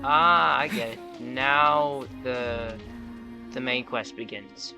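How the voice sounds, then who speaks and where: A man's voice: medium pitch, slow pace, gravelly, over music. A man giving casual live gameplay commentary as a streamer, reacting on a close mic.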